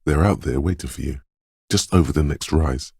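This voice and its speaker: A man playing a detective's ominous, dreamy intuition in a video game, speaking in a hushed, portentous, theatrical way with dramatic pauses and a gravelly, husky voice. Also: very deep voice, no room sound, clean studio sound, exaggerated voice. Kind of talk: video game voice acting, second-person inner monologue.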